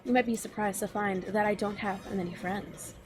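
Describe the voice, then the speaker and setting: A woman voicing a big, gruff but friendly male ogre with a Scottish accent and a blunt, folksy way of talking, in a fanfiction reading. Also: mid-range voice, steady tone, moderate pace, over music, natural voice.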